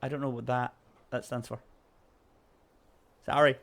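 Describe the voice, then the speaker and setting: A man's voice: medium pitch, little pitch movement, unhurried, vocal fry, a bit bright. A Scottish man streaming a puzzle game, chatting casually, reading out chat messages and talking to his dog. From Scotland. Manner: chatty, reads chat aloud, coos at his puppy.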